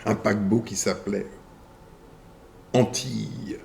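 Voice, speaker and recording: A man hosting a video channel. He reads viewer messages aloud and answers them in a deliberate way, in short phrases with pauses. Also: fairly low pitch, steady tone, gravelly, dark tone, slight background noise.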